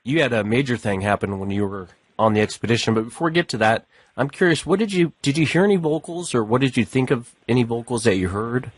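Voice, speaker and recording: A hoarse man hosting a talk show, with an easygoing manner and a habit of thanking guests, recorded dry on a studio mic. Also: mid-range voice, fast, no echo. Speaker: podcast host.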